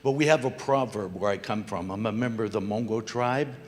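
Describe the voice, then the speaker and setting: Middle-aged man, low voice, reverberant hall. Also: brisk, a little hiss or hum. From Democratic Republic of the Congo. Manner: earnest, reflective, quotes proverbs. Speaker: audience member asking from the floor. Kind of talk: public remarks at a panel event.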